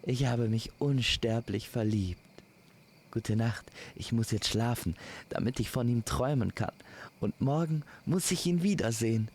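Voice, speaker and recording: A man's voice: medium pitch, a little slow, darkish tone, a little hiss or hum, voice pushed up, close to an everyday voice. A man voicing a polite, eager, lovestruck young noblewoman with courtly speech in a fairy-tale audio story.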